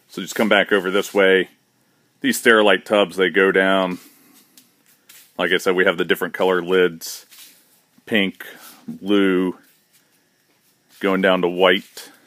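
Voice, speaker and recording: A man's voice: deep voice, dry recording. A man giving a casual, matter-of-fact tour of his shop's warehouse while filming on a handheld phone. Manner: relaxed, matter-of-fact, with fillers and restarts. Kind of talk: casual walkthrough narration. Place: large indoor warehouse with shelving. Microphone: handheld phone camera, speaker behind it.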